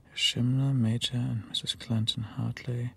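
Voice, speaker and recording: An actor playing a dutiful informant who dictates slowly and deliberately in a radio drama. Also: male voice, medium pitch, little pitch movement, vocal fry, fairly bright tone, breathy edge, no room sound, full character voice. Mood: careful, subdued. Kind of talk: German radio drama acting.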